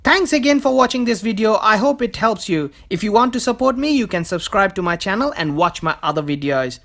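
Lively, animated tutorial narrator. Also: mid-range voice, fairly quick. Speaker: host of an electronics DIY tutorial channel.

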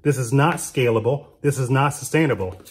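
Man, mid-pitched voice, steady explainer narration, drawing as he talks. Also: a little fast. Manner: steady, plain explaining while sketching on sticky notes.

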